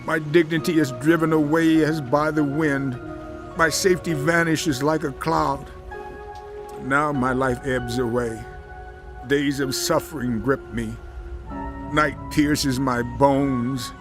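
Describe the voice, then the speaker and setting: An actor playing a suffering righteous man in a dramatized audio Bible, with solemn, impassioned, measured delivery. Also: fairly high pitch, fairly even intonation, music in the background. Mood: anguished, pleading.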